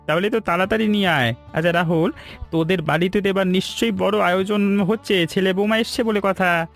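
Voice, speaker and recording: A man's voice: high voice, fairly even intonation, a little fast, fairly bright tone, faint music behind. A man playing a young village shop owner in a cartoon story, speaking familiarly and hospitably to an old friend. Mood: friendly, welcoming.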